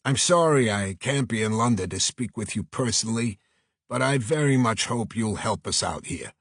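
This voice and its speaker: A man's voice: fairly low pitch, moderate pace, husky, no echo, clean studio sound. A man voicing an earnest, effusive New York talent agent with a Brooklyn accent in an audiobook. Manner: effusive, flattering, salesman-like. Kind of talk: audiobook character voice.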